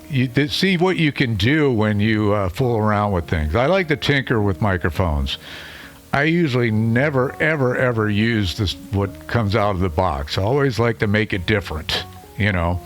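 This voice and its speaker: A man with a deep voice reviews a microphone in a loose, chatty way, full of fillers. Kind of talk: casual product review to camera. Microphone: studio microphone under test.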